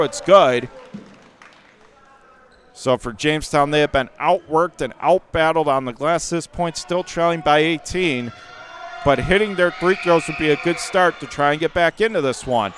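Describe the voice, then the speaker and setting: Male play-by-play commentator, fairly high voice, clipped phrasing that lifts with the action on court. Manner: clipped present-tense phrases, rising to excited calls on action. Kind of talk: live basketball play-by-play commentary.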